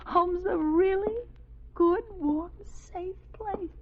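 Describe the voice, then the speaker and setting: Casting: terrified woman in vintage radio thriller, very high voice, drawn-out halting lines, overwrought. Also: exaggerated voice. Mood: shaky relief. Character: frightened woman alone in her dark house. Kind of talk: 1940s-50s radio suspense acting. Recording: dull, lo-fi old radio recording.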